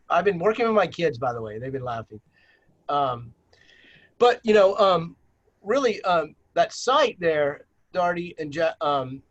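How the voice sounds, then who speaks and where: A man's voice: a little high, fairly bright tone, dull audio. A man leading a virtual planning workshop on a video call, presenting slides with many fillers and restarts.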